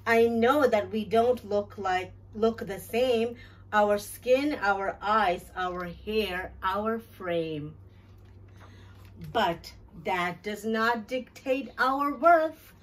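A middle-aged woman hosting a children's storytime, reading a picture book aloud with deliberate, clear phrasing for young listeners, picked up from a little distance. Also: medium pitch. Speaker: children's storytime host and teacher. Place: small decorated classroom-style storytime corner, indoors.

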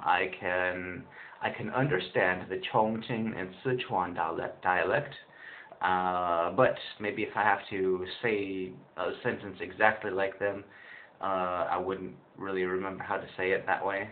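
A young man in his twenties talks casually to his webcam, wandering through his thoughts with plenty of ums and restarts. His voice sits a little low. The audio is thin and phone-like, with a faint hiss underneath. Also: fairly even intonation, measured pace. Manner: rambling, many fillers and restarts. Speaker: vlogger, English teacher in China. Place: small room indoors. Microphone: webcam built-in mic, close.

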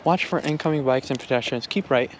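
A man's voice: mid-range voice, fairly quick. A man narrating an outdoor vlog in a casual, easygoing way, shrugging off setbacks and talking to his viewers. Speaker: outdoor vlogger. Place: outdoors by a lake and trails. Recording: outdoor recording with clear background noise.

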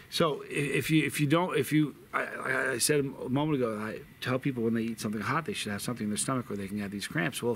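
A man's voice: medium pitch, a bit bright, slight background noise. A middle-aged man hosting his own hot sauce channel, talking casually to the camera from a sunny backyard, with many restarts and fillers. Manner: rambling, frequent restarts and fillers. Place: sunny backyard patio outdoors. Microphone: camera in front, seated close.

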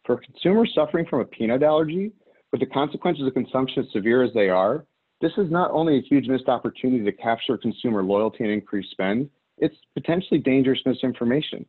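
A man from the Chicago area reads a prepared webinar presentation in a measured, emphatic way, ticking off examples one by one. His voice sits at a middling pitch. The sound is narrow and tinny, as if heard over a phone line. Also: lively intonation, breathy edge. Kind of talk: scripted business presentation. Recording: phone-like narrow band audio.

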